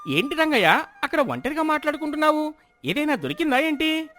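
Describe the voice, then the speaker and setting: A man's voice: very high-pitched, sing-song, a little fast, pushed and bright, faint music behind, full character voice. A man playing a nosy, inquisitive village farmer in moral-story dialogue. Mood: curious.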